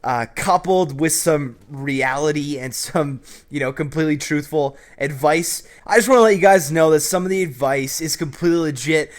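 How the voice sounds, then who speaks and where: Young male host, cocky and jokey advice delivery, slightly raised pitch. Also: moderate pace, hardly any pauses. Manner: brash, joking, with swearing. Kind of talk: casual advice commentary over gameplay.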